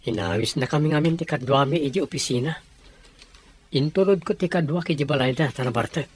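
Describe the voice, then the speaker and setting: A man's voice: fairly high pitch, fairly quick, husky. A man playing an easygoing young office worker and sweet-talking fiancé in a radio comedy-drama. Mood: explaining.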